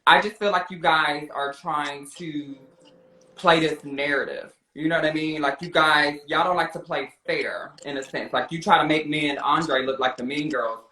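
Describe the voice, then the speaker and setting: A man's voice: a little high, fairly even intonation, moderate pace, sharp tone. An American man chatting confrontationally, sassy, slangy and defensive, recorded with a roomy echo. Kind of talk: casual confrontational chat.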